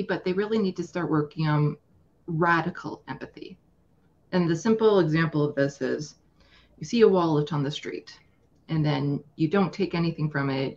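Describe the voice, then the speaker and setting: A woman's voice: low-pitched, darkish tone, dull audio. A woman guest speaking earnestly and emphatically in a casual political discussion, often acting out imagined quotes.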